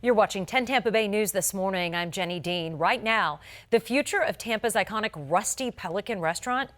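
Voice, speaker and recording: A woman's voice: medium pitch, brisk, fairly bright tone, soft background music. Polished American woman reading local news. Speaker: television news anchor. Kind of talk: scripted local TV newscast.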